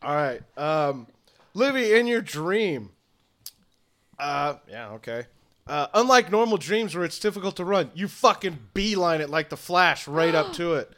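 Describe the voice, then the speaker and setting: A man's voice: fairly high pitch, animated, a little slow, a bit bright. A man running a tabletop game as game master, narrating scenes in a loose, laughing way.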